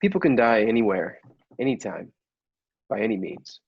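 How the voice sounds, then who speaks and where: A man playing a blunt, grim police inspector in a radio play, speaking in a curt, deadpan way. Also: on the low side, normal speed, creaky, slightly rough, muffled recording, full character voice. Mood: grim, businesslike.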